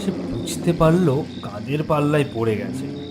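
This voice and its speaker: A man's voice: on the high side, unhurried, gravelly. A man narrating a horror story in a dramatic, scripted storytelling style. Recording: very loud music behind.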